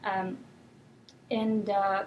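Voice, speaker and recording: A young woman from Russia, whose first language is Russian, answering interview questions thoughtfully with many pauses and fillers. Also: mid-range voice, little pitch movement, lo-fi sound, a little hiss or hum. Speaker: guest.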